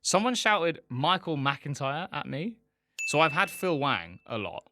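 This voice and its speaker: A man's voice: a little high, big pitch swings, unhurried, background noise. A man, a stand-up comedian guest, telling anecdotes casually in a bemused, informal way.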